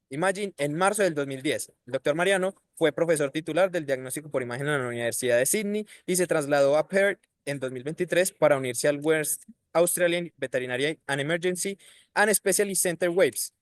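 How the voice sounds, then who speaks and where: Formal course host, dry close sound. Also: male voice, a little high, dry recording. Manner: formal, courteous, switches to English. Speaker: host of an online diploma course. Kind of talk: formal introduction.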